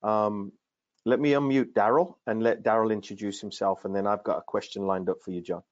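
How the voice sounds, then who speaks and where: A man hosting a video-call interview, introducing his guest in a friendly, halting way with lots of fillers. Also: fairly low pitch, fairly even intonation. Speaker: podcast host, coaching director. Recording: dry, dull call audio.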